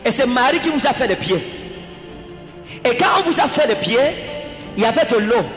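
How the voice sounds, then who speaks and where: A man prophesying to a congregant with a very high, animated voice. He asks short, repeated questions. The sound is echoey and roomy, with music playing behind him. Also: normal speed. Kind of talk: prophecy at a church service. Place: large church hall. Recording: echoey church hall with music.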